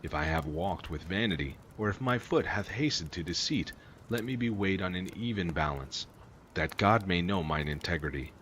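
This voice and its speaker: A man with a fairly low voice reads scripture aloud. His delivery is solemn and declamatory, in the manner of formal recitation. Some faint noise sits behind the voice. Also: expressive, moderate pace, a bit bright. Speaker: amateur Bible reader. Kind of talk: reading scripture aloud, King James Version. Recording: clearly noisy recording with ambience.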